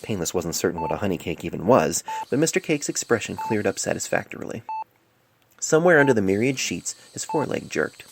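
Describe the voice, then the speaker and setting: An amateur female narrator reads scripted fiction in a very low voice with plenty of fry. Her pitch moves around in a lively way. The cell phone recording carries clear noise. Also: a little fast. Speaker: amateur author and story narrator. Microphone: cell phone microphone.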